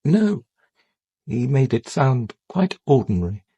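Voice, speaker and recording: An actor playing a mild parish priest in a radio drama, explaining things politely and a little hesitantly. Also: male voice, mid-range voice, big pitch swings, takes it slowly, warm tone, slightly rough, dry recording, full character voice. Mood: subdued, explaining.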